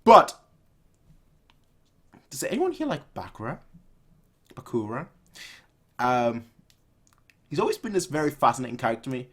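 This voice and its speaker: A man in his twenties giving casual, off-the-cuff reaction commentary in an animated, rambling way, repeating phrases for emphasis. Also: mid-range voice, moderate pace, pauses often, husky. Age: 20s. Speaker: reaction video host. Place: small room at home, indoors.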